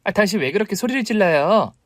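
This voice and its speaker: Man doing arrogant sister-in-law, woman, mocking, sharp, raised pitch, dry recording. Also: expressive, quick pace, no echo. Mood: scornful. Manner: sharp, mocking, later stammering in fear.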